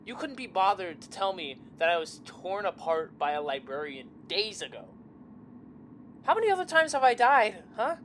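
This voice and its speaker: Audio drama role, played by a woman: wounded young friend, confrontational, bitterly accusing, halting, lively pitch movement. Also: a little hiss or hum, natural voice. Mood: hurt, accusing.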